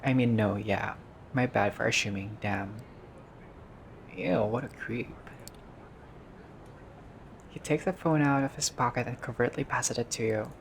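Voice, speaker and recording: A man doing a casual game playthrough, reading dialogue aloud and reacting with expressive, slangy asides. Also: mid-range voice, a little slow, gravelly, long pauses, slight background noise. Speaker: gaming video player reading dialogue aloud.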